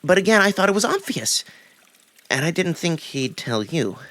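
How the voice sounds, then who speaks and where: A man's voice: high-pitched, big pitch swings, moderate pace, sharp tone, dry recording, pitched above the performer's own voice, full character voice. A man voicing a sly, wounded male cat in a visual novel, haughty and evasive, with formal, indignant phrasing. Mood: evasive, defensive. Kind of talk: visual novel character acting.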